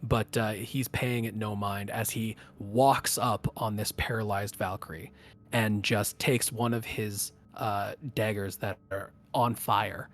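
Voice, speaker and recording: A man running a tabletop game as game master, narrating the action casually and thinking aloud in halting phrases. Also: fairly low pitch, flat delivery, a bit bright, soft background music.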